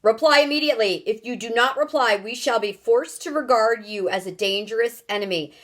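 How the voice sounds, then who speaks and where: A woman reading aloud, voicing an angry, urgent man who booms orders over a loudspeaker. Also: animated, slow, exaggerated voice. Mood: threatening. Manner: commanding, urgent, shouting. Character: booming, angry male voice of space control.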